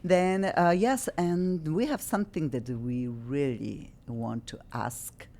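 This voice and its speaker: Lady hosting a radio show, low voice, stops and restarts a lot. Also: gravelly, mellow. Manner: halting non-native English with many restarts.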